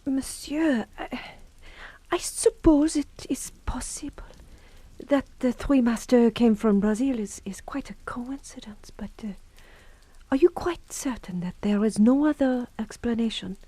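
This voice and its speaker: Soft, mellow, deferential maidservant. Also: female voice, a little high, measured pace, dry recording. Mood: hesitant doubt. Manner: gentle, deferential, hesitant, breathy near-whisper at times. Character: timid, caring female servant to a troubled gentleman. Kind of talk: audio horror drama acting.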